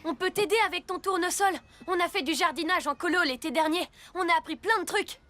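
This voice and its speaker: A woman voicing an earnest, helpful six-year-old boy superhero in an animated children's series, speaking in a decisive manner. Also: very high voice, a little fast.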